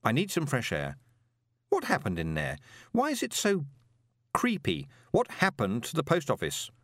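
A man voicing an irritable, quick-witted swindler in an audiobook, with a sarcastic, demanding manner. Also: medium pitch, wildly swooping pitch, dry recording, studio quality, voice pushed up. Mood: sarcastic, exasperated.

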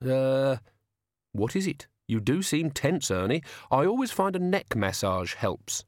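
A man doing a soft-spoken, smiling villain. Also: mid-range voice, sing-song, a little slow, dry recording, studio quality. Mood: silkily menacing. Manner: soft, genial and quietly threatening. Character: smiling, menacing young male villain, falsely polite.

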